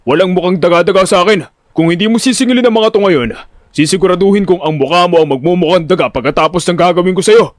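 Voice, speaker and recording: A man's voice: sits high, fast, no echo, studio quality, natural voice. Man putting on a bullying loan boss, barking threats. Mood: angry, threatening. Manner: bullying, scolding, barking orders. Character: gruff, threatening male loan-collection boss.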